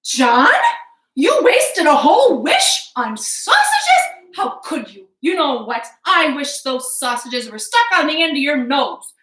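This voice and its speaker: Storybook wife, acted by a woman: practical, chiding, high voice with lively swings, roomy sound. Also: full character voice. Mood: exasperated. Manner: measured, chiding. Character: sensible woodcutter's wife, practical, easily exasperated. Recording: echoey living room.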